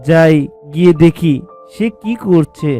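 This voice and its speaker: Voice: mid-range voice, slow pace, dark tone, natural voice. A performer voicing a devoted tiger husband in a cartoon folk tale, with a plaintive manner. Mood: anxious resolve. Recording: music under the voice.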